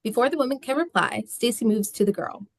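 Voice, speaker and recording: A woman's voice: a little high, expressive, brisk, no echo. Woman narrating a script, dry sound. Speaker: stage-direction narrator. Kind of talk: scripted narration at a table read.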